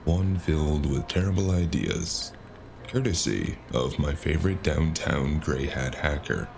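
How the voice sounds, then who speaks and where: An actor playing a gruff, weary cyberpunk investigator, narrating his own story in a deadpan, noir-like audio drama style with a gravelly voice. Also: a bass voice, a little slow, slight background noise, heavily acted. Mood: dry, resigned. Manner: deadpan, profane. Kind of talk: noir-like scripted narration and dialogue. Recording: music behind the voice.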